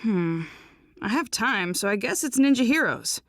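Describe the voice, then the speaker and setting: A woman's voice: a little high, big pitch swings, unhurried, a bit bright, no echo, clean studio sound, exaggerated voice. A woman voicing an upbeat, boyish young son in an English anime game dub. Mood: casual.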